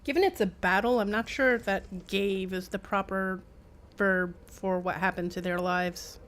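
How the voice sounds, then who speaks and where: A woman's voice: medium pitch, fairly even intonation, unhurried, fairly bright tone, a little hiss or hum. A woman with a mid-range voice comments casually on the game she is streaming. She rambles in a chatty way and adds wry remarks as she goes. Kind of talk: casual live gameplay commentary.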